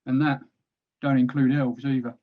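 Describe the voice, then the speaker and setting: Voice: mid-range voice, flat delivery, moderate pace, narrow, tinny audio, close to an everyday voice. A performer playing a cowardly, exasperated male orc captain plotting in secret at a table read.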